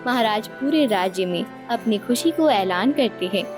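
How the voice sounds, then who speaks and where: Woman storyteller over clear background music. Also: on the high side, lively intonation, normal speed. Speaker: story narrator. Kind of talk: scripted storytelling narration for a cartoon. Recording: music under the voice.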